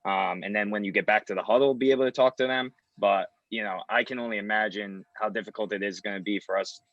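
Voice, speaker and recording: A man's voice: on the low side, steady tone, fast talker, a bit bright, no echo. A man who is an assistant basketball coach, answering in a loose, reflective way full of filler words. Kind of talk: podcast interview answers. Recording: dry, dull lo-fi sound.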